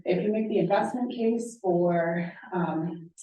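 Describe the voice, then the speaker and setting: A woman in the audience asking a measured question at a conference panel, pausing on fillers. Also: fairly low pitch, dark tone, slight background noise. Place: large conference room. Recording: echoey hall from far off, dull.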